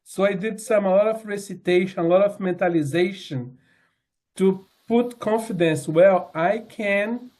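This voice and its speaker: A man in his sixties with a notably high-pitched voice gives a sincere personal testimony. He talks haltingly, stopping to restart phrases and filling pauses with "uh" and "um". His delivery is plain and earnest rather than polished. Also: darkish tone. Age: 60s. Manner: halting, many fillers and restarts. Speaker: member giving a testimony. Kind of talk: personal testimony talk with slides.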